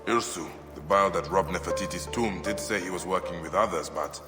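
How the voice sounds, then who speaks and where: A man's voice: deep voice, brisk, over music, lightly acted. A man acting a solemn, terse Egyptian avenger in a video game cutscene. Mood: reflective. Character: brooding ancient Egyptian male protector and avenger.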